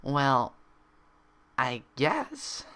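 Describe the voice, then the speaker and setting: A man voicing a sad, withdrawn young female pony who talks quietly and haltingly in a storybook reading. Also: mid-range voice, huge pitch swings, voice pushed up, full character voice. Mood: tentative, coy.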